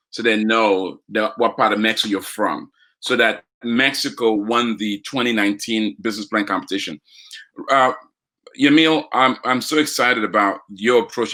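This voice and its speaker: A man's voice: on the low side, pushed and bright. A man hosting a live podcast, asking a guest questions with upbeat enthusiasm. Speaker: podcast host.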